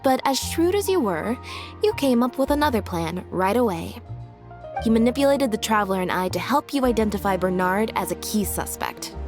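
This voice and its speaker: A composed young woman detective as played by an actress lays out deductions in a video game. Her mid-pitched voice is measured, deliberate and articulate, with music underneath. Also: very animated, natural voice. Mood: coolly accusing. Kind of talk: scripted video game dialogue. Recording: music behind the voice.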